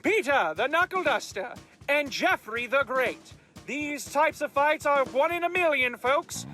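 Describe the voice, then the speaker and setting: A man's voice: extremely high pitch, expressive, measured pace, sharp tone, soft background music, exaggerated voice. A man playing a bombastic, showy fight promoter in a radio advert. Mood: hyped, showy. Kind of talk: ringside hype announcement.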